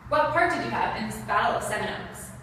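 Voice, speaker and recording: A woman reading scripted interview questions for a school project, in a soft, careful way. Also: fairly high pitch, expressive, fast talker, slight background noise. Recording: echoey room, far from the mic, music behind.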